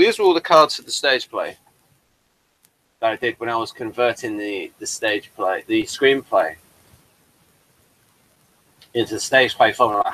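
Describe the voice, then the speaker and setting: A man's voice: medium pitch, fairly bright tone, poor audio. A man talking casually about his stage play, rambling with many fillers and restarts. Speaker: playwright showing his work. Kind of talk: casual chat on a video call.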